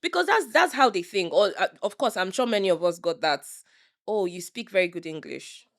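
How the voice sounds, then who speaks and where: Lively Nigerian woman co-hosting a podcast. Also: mid-range voice, fairly quick, no room sound, clean studio sound. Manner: animated, restarts phrases, frequent "you know"; public speaker. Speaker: podcast co-host. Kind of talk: casual chat.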